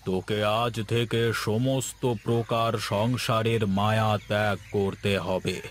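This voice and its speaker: A man's voice: fairly low pitch, steady tone, background noise, natural voice. A man voicing a stern, commanding guru in a cartoon, speaking solemnly. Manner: solemn, commanding, slow, chanting mantras at times. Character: stern holy man, a guru laying down rules. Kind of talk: cartoon voice acting.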